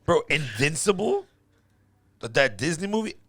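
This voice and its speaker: A man's voice: on the high side, sing-song, normal speed, a bit bright, no echo. A man hosting a podcast, talking in casual, slangy chat with friends. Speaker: podcast host.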